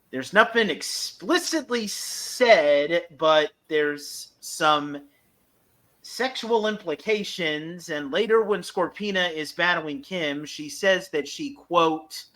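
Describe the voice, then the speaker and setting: A man's voice: on the high side, a bit bright. A man hosting a fan podcast, casually recapping and reviewing episodes with enthusiasm. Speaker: podcast host.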